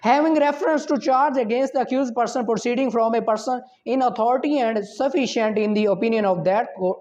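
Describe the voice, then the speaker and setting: A man with a Pakistani accent lecturing law students, reading formal passages slowly and deliberately, then explaining them didactically. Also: very high-pitched, a little mellow, dull audio.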